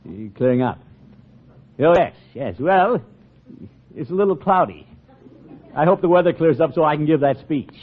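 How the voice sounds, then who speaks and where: An actor playing a vain, easily flustered middle-aged uncle in old radio comedy, with a blustery manner. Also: male voice, a little high, lively intonation, moderate pace, long pauses. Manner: blustery, hesitant when cornered, chuckling. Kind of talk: 1940s radio comedy acting. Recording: very muffled old radio recording.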